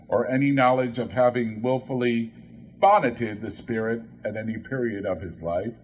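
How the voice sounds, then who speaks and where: Slow, animated male storybook narrator. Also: mid-range voice, breathy edge, rough recording. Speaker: audiobook narrator. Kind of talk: expressive scripted storybook narration.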